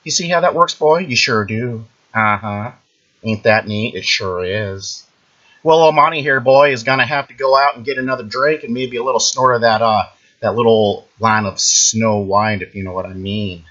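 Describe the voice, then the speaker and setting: A man playing a smug, boastful internet ranter who claims to read minds, taunting the listener in a mocking sing-song and tagging lines with drawn-out "mm-hmm"s. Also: mid-range voice, bright, forceful tone, muffled recording. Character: smug boastful male internet ranter, self-proclaimed mind-reader. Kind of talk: mocking satirical monologue.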